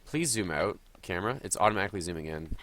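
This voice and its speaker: A man streams a game live and talks through each move as he plays it. He is sniffly from a cold. His voice is bright and forward, sitting fairly low. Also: expressive, quick pace. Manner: chatty, thinking aloud while playing. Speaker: gaming streamer.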